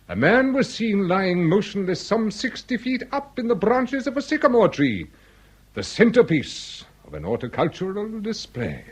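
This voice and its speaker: An actor playing a courtly, fretful and florid Victorian lord with a husky voice in a British radio drama. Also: male voice, medium pitch. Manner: florid, mannered, pompous.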